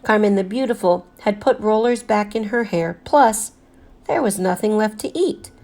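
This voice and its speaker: A woman with a mid-pitched voice reads a children's picture book aloud as a teacher would for her class. Her delivery is expressive, with deliberate emphasis on the words that matter to young listeners.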